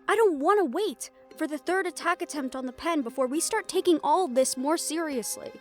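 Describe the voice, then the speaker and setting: A woman's voice: high voice, fairly quick, darkish tone, close to an everyday voice. An actress playing a determined, rule-bending woman hunter in a fantasy audio roleplay, speaking insistently and persuasively. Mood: urgent, resolute. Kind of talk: scripted audio roleplay drama. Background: background music.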